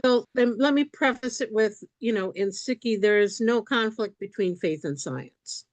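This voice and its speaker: A woman asking a careful, measured question at an online event. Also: fairly high pitch, no echo. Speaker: audience member asking a question. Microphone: video call.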